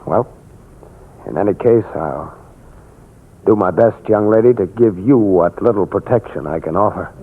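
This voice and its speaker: An actor playing a steadfast, gallant protector, earnest and formal in speech, in 1940s radio style. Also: male voice, lowish voice, moderate pace, long pauses, breathy edge. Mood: reassuring. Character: steadfast gallant male protector of a young lady. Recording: noisy tinny old radio recording.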